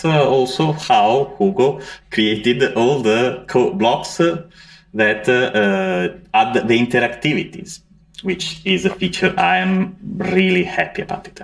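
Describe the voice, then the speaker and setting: A man's voice: fairly high pitch, vocal fry. A man from Italy with an Italian accent presenting at a conference, hesitant with many fillers. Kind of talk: conference talk.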